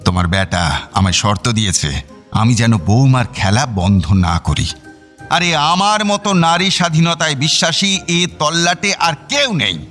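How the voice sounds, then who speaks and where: Boastful old husband, lively swooping delivery. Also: male voice, mid-range voice, a little slow, faint music behind. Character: boastful, playful elderly husband and father-in-law. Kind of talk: audio drama acting.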